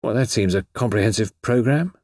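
A man voicing a nonchalant, self-assured gentleman writer in an audiobook, urbane, chatty and a little wheedling. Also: on the low side, fairly quick, husky, no room sound, clean studio sound, pitched above the performer's own voice. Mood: wry. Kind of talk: audiobook character voice.

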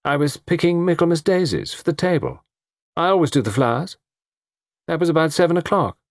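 A man's voice: on the high side, animated, a little fast, dry recording, studio quality, voice pushed up. A man voicing a cool, haughty young lady. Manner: cool, curt, clipped replies. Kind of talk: audiobook character voice.

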